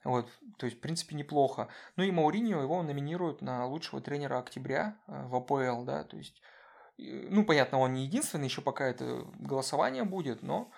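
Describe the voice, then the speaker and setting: A man's voice: mid-range voice, expressive, quick pace, gravelly, darkish tone. A man co-hosting a casual football podcast, talking with many fillers and restarts. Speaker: podcast co-host.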